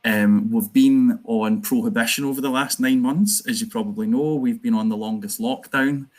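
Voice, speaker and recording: A man with a Glasgow accent, speaking earnestly as a union organiser on an online panel. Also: medium pitch. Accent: Glaswegian. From Scotland. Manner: earnest, campaigning, quick asides.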